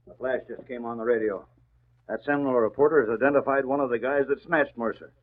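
A thin, tinny radio recording carries a male actor as a jittery henchman. His medium-pitched voice is worried and urgent, looking to the boss for orders. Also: fast talker, lightly acted. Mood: alarmed. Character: jittery male gang henchman, anxious follower. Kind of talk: 1940s radio acting.

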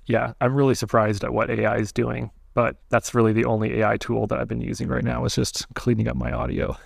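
Nasal man with a gravelly edge. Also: medium pitch, level tone, fairly quick, dry recording, clean studio sound. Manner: chatty, loose, many "like" fillers. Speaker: podcast host. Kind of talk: casual podcast conversation. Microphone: close studio mic angled up.

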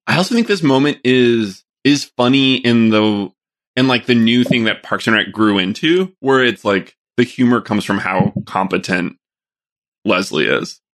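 Halting, reflective young man. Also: medium pitch, animated, fairly bright tone. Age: 20s. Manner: halting, restarts often, reflective. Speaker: podcast co-host. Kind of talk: casual chat.

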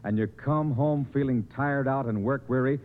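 A man's voice: mid-range voice, normal speed. A man reading a 1950s radio advertisement as a polished, emphatic salesman. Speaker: commercial announcer. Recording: muffled vintage 1950s radio recording.

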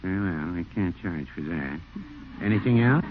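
An American actor playing a mild, folksy older shopkeeper in a 1940s radio comedy, with a dry deadpan manner. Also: male voice, low-pitched, normal speed. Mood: mild, obliging. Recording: muffled, faintly noisy 1940s radio recording.

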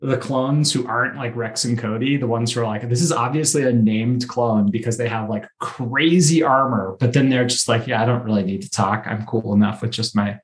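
A man's voice: mid-range voice, normal speed, few pauses. A man hosting a podcast, chatting casually about a TV show in an informal, jokey way. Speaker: podcast host.

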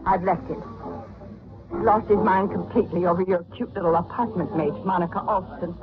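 An actress playing an anxious wronged wife, urgent and insistent, in an old-time radio drama. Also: female voice, on the low side, fairly even intonation, brisk, natural voice. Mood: agitated, insistent. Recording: muffled, hissy old radio recording.

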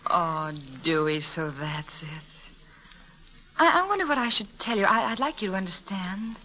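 An actress playing a gentle, earnest woman schoolteacher in theatrical, sentimental 1940s radio drama style. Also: medium pitch, very animated, a little slow. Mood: earnest, consoling. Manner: tender, earnest, theatrical delivery.

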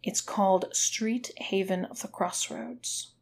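A woman's voice: medium pitch, slow pace, gravelly. A woman voicing a domineering, sneering dominatrix in an audiobook story, commanding and taunting.

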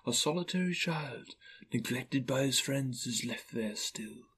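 Male narrator as ghostly spirit, gentle, grave, soft-spoken, measured, mildly commanding, storybook style. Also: medium pitch, husky. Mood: solemn, sorrowful. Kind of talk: storybook character reading.

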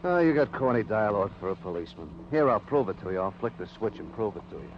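Sneering killer, voice swings around a lot, old muffled radio drama. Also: male voice, on the low side, big pitch swings, fast talker, heavy background noise. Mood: mocking. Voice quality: sardonic. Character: sneering, cornered male murderer, a movie projectionist. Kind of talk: 1940s-50s radio crime drama acting.

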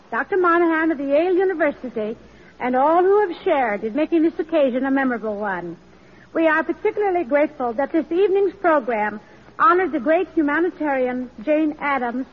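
High-voiced woman giving formal address. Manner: formal, careful reading. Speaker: women's club president, guest speaker. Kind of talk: formal scripted radio address. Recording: muffled vintage radio broadcast.

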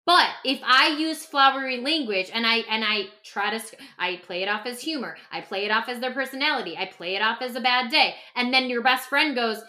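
A woman speaking emphatically and conversationally as a podcast guest, explaining self-help ideas in an animated way. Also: fairly high pitch, a little fast, few pauses. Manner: emphatic, acts out example dialogue. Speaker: podcast guest and author discussing their own book.

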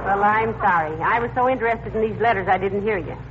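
A woman's voice: mid-range voice, fast talker, natural voice. A woman playing a sensible, teasing wife with a homey, wry manner in a 1940s radio comedy. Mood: distracted, friendly. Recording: muffled, faintly noisy old radio recording.

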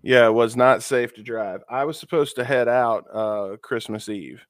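A man's voice: mid-range voice, moderate pace, no echo, clean studio sound. Stuffy-nosed man, loose profane podcast chat. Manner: loose and profane, lots of fillers. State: stuffy and sniffly from a cold. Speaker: podcast co-host. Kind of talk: casual podcast banter.

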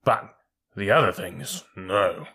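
A man's voice: deep voice, slow pace, bright, forceful tone, husky, full character voice. A man voicing a proud, stubborn, quarrelsome male army mule in a storybook reading, indignant and blustering. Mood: scornful.

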